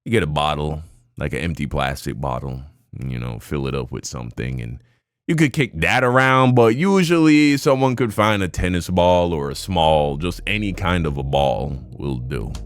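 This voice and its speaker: A young man from Jamaica, co-hosting a reaction video in crude, loud, slangy casual chat that jumps into shouts. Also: a bass voice, animated, moderate pace, dry recording, clean studio sound. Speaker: reaction co-host.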